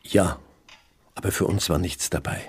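An actor in a radio drama playing a pharmacist who patiently placates his sick wife, with a breathy, husky voice. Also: low voice, fairly even intonation, normal speed, in a whisper, lightly acted. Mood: placating. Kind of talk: literary radio drama acting.

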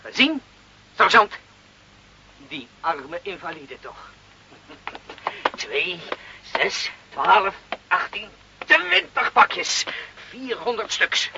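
An actor in a radio play playing a stern, sarcastic customs lieutenant with a commanding, legalistic manner. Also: male voice, sits high, sing-song, very slow, vocal fry, long pauses, full character voice. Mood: smug, threatening. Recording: noisy, muffled old radio play recording.

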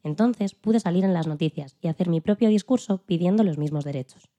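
A woman playing a dignified elderly female civil rights activist who recalls her struggle in a calm, earnest, plain-spoken way, as scripted interview storytelling. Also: fairly low pitch, brisk, muffled recording, lightly acted. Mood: earnest, resolute. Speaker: podcast guest in a dramatized interview. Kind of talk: scripted first-person storytelling in interview form.

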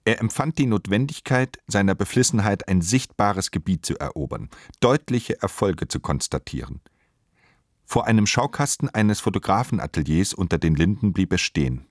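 Very deep male literary narrator. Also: lively intonation, moderate pace, dry recording, clean studio sound. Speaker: radio play narrator.

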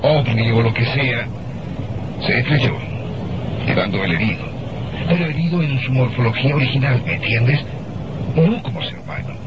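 A man's voice: medium pitch, close to an everyday voice. A man playing an earnest, insistent navy lieutenant in a radio horror play, explaining emphatically. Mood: earnest, urgent. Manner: emphatic, explanatory, halting when excited. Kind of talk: old radio drama acting. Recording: dull, very noisy old radio recording.

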